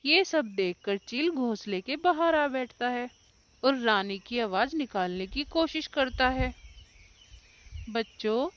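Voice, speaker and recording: A woman's voice: high voice, expressive, unhurried, a bit bright. A woman narrating a scripted children's story.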